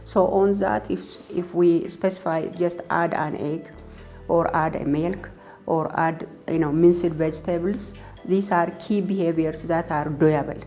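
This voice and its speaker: A woman speaks in a mellow, very even voice, explaining conversationally as if in an interview. Music runs behind her. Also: on the low side, measured pace. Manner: conversational, peppered with "you know". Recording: music bed under speech.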